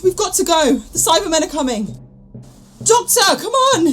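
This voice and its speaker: A woman's voice: extremely high pitch, big pitch swings, normal speed, a bit bright, exaggerated voice. A woman playing an earnest, anxious, plain-spoken companion in an audio drama. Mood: urgent, panicked. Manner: emotional, exasperated, earnest.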